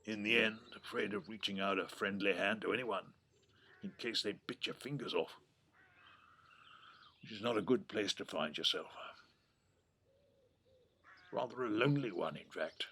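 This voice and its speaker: An actor playing a wry, wistful old English grandfather in an audio drama, rambling affectionately in a gravelly, husky voice. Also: fairly low pitch, normal speed, long pauses, sharp tone, full character voice. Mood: reflective, wistful. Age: 70s or older. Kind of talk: naturalistic audio drama acting. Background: birdsong.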